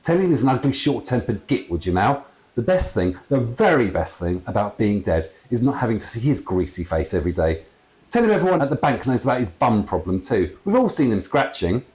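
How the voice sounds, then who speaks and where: A man's voice: medium pitch, sing-song, fairly quick, darkish tone, pitched above the performer's own voice, natural voice. A man voicing a cheeky, mocking ghost dad from a storybook, jokey and insistent.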